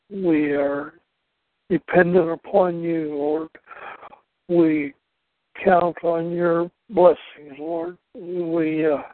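Slow, flat-voiced man praying by phone. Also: a little high, no echo. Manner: slow, earnest, repetitive phrasing. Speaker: church elder leading prayer. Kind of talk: spoken prayer. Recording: dry tinny phone line.

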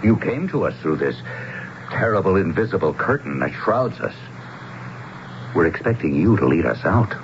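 A middle-aged man speaks in a very low, steady, matter-of-fact voice in a radio play. The recording is dull and vintage, with clear background noise. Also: normal speed. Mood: grave, expectant. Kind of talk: 1970s radio drama acting. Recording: muffled, noisy vintage radio drama recording.

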